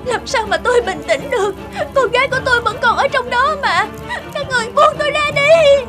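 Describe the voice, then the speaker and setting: Woman as young mother, high voice, proud, hurt, emotional cartoon dub, clear background music. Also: brisk. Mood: desperate. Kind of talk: cartoon melodrama dubbing.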